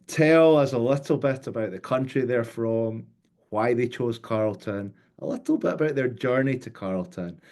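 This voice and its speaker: A man's voice: medium pitch, darkish tone. Man moderating an online student panel. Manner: welcoming, structured, prompting guests with questions. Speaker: webinar moderator. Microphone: video call.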